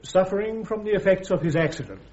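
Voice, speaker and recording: An actor playing a courteous, brisk British army major prosecuting a case in a radio drama, polite and precise. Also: male voice, high-pitched, lively intonation, darkish tone. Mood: formal, probing. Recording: dull lo-fi radio drama recording.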